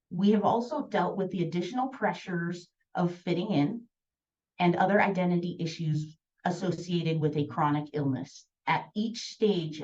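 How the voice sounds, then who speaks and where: A woman from the United States, a parent of two children, reading a prepared statement in a steady, composed way. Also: fairly low pitch, distant mic, highs cut off.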